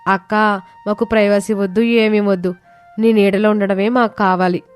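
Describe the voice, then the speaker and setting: A woman's voice: mid-range voice, flat delivery, normal speed, clear, resonant tone, soft background music, close to an everyday voice. A woman voicing an emotional, plain-spoken younger daughter-in-law in a family story drama. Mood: remorseful, pleading.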